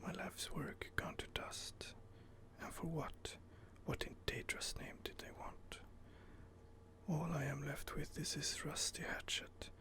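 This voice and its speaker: A man's voice: on the high side, little pitch movement, slow, bright, forceful tone. A man whispering the brooding inner monologue of a weary older craftsman who has lost everything. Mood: grieving, vengeful. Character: weary older male craftsman seeking vengeance. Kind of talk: ASMR in-character narration.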